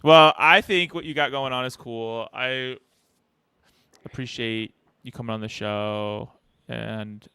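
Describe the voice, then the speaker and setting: A man's voice: medium pitch, fairly even intonation, slow, sharp tone, clear, resonant tone, dry recording. A man in his forties hosting an interview from a home studio, teasing and joking with his guest in friendly banter. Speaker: podcast host. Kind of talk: casual video call interview. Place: small home studio indoors. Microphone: headset with studio microphone.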